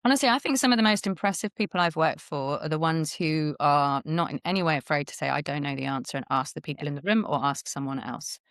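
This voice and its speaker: A woman hosting an online panel discussion, talking in a brisk, thoughtful, conversational way over a video call. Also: sits low, expressive, a bit bright, smooth, no echo, studio quality. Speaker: host.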